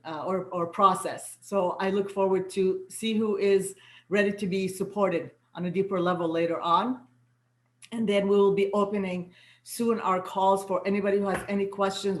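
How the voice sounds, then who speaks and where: A woman whose first language is Arabic, hosting an online spiritual gathering as a soul guide and coach, with a gentle, affirming manner and words repeated for emphasis. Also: mid-range voice, echoey room. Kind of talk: inspirational welcome to an online gathering. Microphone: video call.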